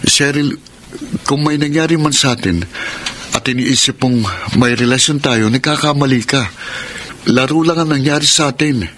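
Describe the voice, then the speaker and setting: An actor playing an evasive, weak-willed young boyfriend who makes excuses in a Filipino radio drama, with a hesitant, pleading manner. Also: male voice, medium pitch, moderate pace, pauses often, a bit bright, slight background noise, close to an everyday voice. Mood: defensive, firm.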